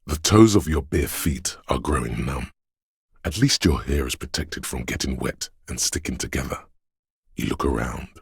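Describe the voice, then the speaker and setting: A man voicing a brooding, omniscient inner voice of a city in a video game, narrating gravely and poetically in a measured, husky, gravelly voice. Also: a bass voice, no room sound, clean studio sound, heavily acted. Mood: brooding, solemn. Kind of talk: slow literary second-person game narration.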